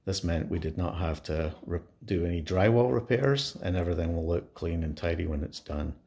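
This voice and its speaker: A man giving a casual how-to narration of a home installation, explaining step by step with halting pauses mid-sentence. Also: sits low, expressive, creaky, darkish tone. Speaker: DIY home installer presenting his project. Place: indoors in a home.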